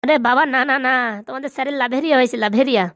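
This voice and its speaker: Casting: woman for cocksure comic village doctor, quick-talking and high, recorded very dry. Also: fairly bright tone, no echo. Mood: knowing. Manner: boastful, emphatic. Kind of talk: comic cartoon voice acting.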